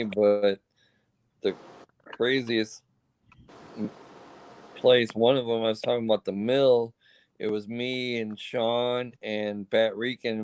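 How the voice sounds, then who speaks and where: Low-voiced man rambling with restarts. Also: darkish tone, slight background noise, rough recording. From Michigan. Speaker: host. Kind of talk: casual group video chat.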